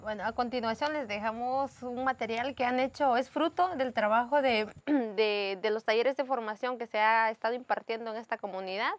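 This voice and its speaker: A woman's voice: fairly high pitch, moderate pace. A woman presenting a community TV program, welcoming and slightly stumbling. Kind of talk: live community TV hosting.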